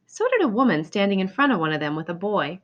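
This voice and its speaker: Lady doing an expressive kids book readaloud, mid pitch, kinda dull lo-fi sound. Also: fast talker. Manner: expressive, acts out exclamations and dialogue. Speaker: storybook reader. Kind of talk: children's picture book readaloud.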